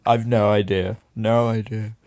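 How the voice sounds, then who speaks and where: A man hosting a casual live stream, excitable and hyping up callers. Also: lowish voice, measured pace. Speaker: stream host.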